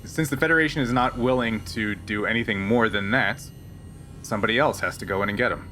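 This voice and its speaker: Man acting out smuggler pilot, tabletop space game, guarded, weary, defiant, halting, bright mid-pitched voice, faint noise. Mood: matter-of-fact, defiant. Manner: halting, measured, evasive.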